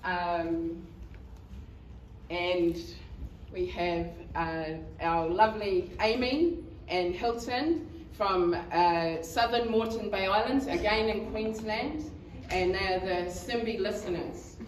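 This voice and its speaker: A woman from New Zealand presents to a conference audience at a slow, halting pace, filling gaps with ums and nervous laughter. Her voice carries through an echoey hall, picked up from a distance over faint background noise. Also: mid-range voice. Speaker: community member presenter.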